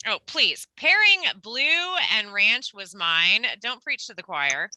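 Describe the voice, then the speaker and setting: A woman plays a polite coworker with a dry, teasing streak in a stage comedy. Her voice is strikingly bright and lively in pitch. She speaks unhurriedly and a little hesitantly. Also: slow pace, exaggerated voice, low-quality recording. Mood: awkward, teasing. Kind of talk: comedic play table reading.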